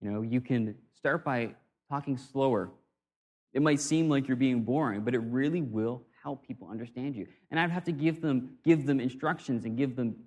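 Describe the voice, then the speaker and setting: A man's voice: mid-range voice, darkish tone, highs cut off. A man giving an informal talk on communication, conversational and self-deprecating, often restarting his phrases. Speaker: speaker on communication. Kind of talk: informal talk or sermon-like lecture.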